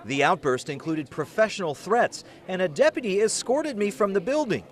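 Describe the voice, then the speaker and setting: Animated, high-pitched American male news reporter. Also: moderate pace. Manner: serious, emphatic broadcast delivery. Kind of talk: scripted investigative news narration.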